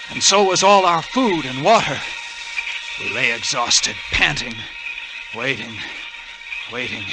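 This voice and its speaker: An actor playing a tense, resolute lighthouse keeper, narrating a 1940s radio suspense drama in a dramatic, deliberate way. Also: male voice, mid-range voice, heavy background noise, natural voice. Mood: grim, tense. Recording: old 1940s radio broadcast with organ music.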